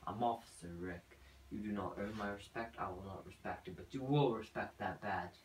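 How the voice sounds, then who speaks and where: A man's voice: sits high, very animated, fairly quick, echoey room, very noisy. A man playing a bossy, blustering police officer in an amateur crime skit, with a theatrical, commanding delivery. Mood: menacing.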